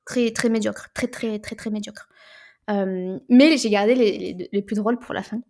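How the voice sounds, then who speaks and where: A woman, co-hosting a casual book podcast, animated and often repeating words as she searches for them. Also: mid-range voice, normal speed. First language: French. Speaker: podcast co-host.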